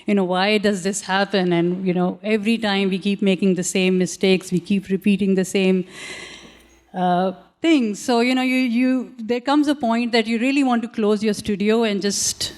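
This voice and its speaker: Reflective woman artist, smooth clear voice. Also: mid-range voice, steady tone, darkish tone. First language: Urdu. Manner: reflective, halting, many fillers and restarts. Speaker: artist on a panel. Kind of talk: panel talk about her art. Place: large auditorium stage.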